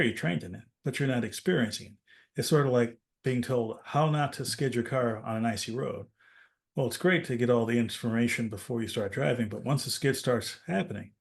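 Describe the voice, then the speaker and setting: A man's voice: mid-range voice, creaky. A man co-hosting a talk podcast, explaining things conversationally and posing questions that he answers himself. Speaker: aviation safety podcast co-host. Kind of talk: casual explanatory discussion.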